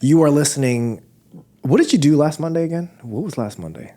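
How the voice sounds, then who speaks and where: A man's voice: on the high side, expressive, brisk, dark tone. Nasal-voiced man hosting a church podcast. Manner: joking, self-deprecating, rambling with restarts. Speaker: podcast host. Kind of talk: casual chat.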